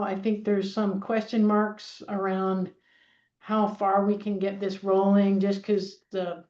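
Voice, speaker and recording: A woman's voice: medium pitch, a little mellow. A middle-aged woman, a research scientist, giving an informal conference presentation in a conversational, explanatory way. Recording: dull, roomy, echoing sound.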